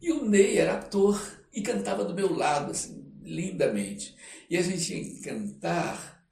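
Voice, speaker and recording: An older man with a Brazilian Portuguese accent tells stories as an interview guest, in a halting way, repeating phrases for emphasis. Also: high voice, animated, creaky, darkish tone, far from the mic. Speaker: podcast guest, stage performer. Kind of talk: conversational storytelling interview. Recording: roomy echo, off-mic, faint noise.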